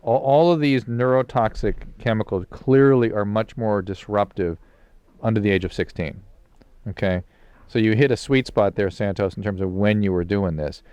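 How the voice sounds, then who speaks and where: A man co-hosting a radio call-in show as a physician, calmly explaining medical facts to a caller. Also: lowish voice, moderate pace, clear, resonant tone, no room sound. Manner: calm, explanatory, clinical. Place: radio studio. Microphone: close studio mic.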